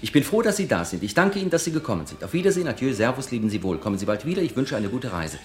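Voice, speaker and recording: A man's voice: medium pitch, big pitch swings, very fast, a little mellow, natural voice. Man acting an over-polite, swooping small-talker. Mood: effusively polite. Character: over-polite, formulaic male small-talker, gushing pleasantries. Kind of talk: experimental radio play, absurd dialogue. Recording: 1970s radio drama with music. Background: music.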